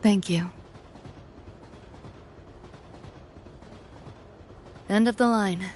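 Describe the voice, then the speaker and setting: A woman's voice: mid-range voice, normal speed, natural voice. An actress playing a young woman mercenary in a video game, coolly wistful, speaking in clipped casual slang. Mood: wistful, resigned. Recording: music behind the voice.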